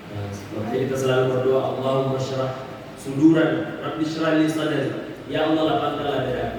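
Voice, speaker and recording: A man giving a conversational Islamic sermon, explaining patiently and repeating phrases for emphasis. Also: mid-range voice. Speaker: Islamic preacher answering questions. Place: mosque hall. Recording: echoey mosque hall, distant mic, noisy.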